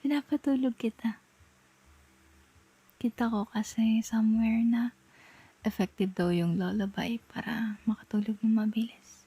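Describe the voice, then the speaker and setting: A young Filipino woman playing a tender, playful girlfriend in an intimate ASMR roleplay, murmuring softly and coaxingly close to the mic. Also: fairly high pitch, fairly even intonation, a little slow, long pauses, husky. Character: tender, playful girlfriend soothing partner to sleep. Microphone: close microphone.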